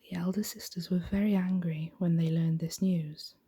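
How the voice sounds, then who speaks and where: A woman giving a soft-spoken, gentle, hushed ASMR reading of a bedtime story in a breathy, whispery voice. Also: on the low side, fairly even intonation, normal speed.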